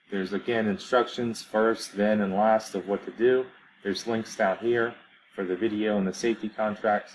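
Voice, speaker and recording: A man's voice: on the low side, slight background noise, poor audio. A man in his thirties, a teacher, giving a casual recorded introduction to his class, with many ums and uhs. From grew up on US military bases in Germany.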